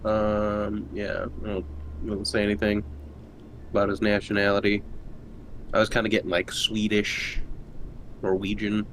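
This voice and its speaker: A man's voice: lowish voice, monotone, moderate pace, pauses often, fairly bright tone. A man chatting casually and informally as a player in a tabletop roleplaying game. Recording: clearly noisy background.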